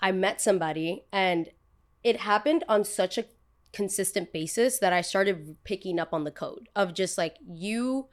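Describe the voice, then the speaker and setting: A woman's voice: medium pitch, normal speed. A young woman with a New Jersey accent, chatting casually as a podcast host in a blunt, emphatic, slangy way. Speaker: podcast host, content creator.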